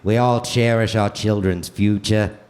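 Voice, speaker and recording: A man playing a stately male politician in a comedic radio play, stammering and oratorical, with drawn-out hesitations. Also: lowish voice, fairly even intonation, a little mellow, voice pushed down, lightly acted. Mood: lofty. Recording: live theater stage, faint noise.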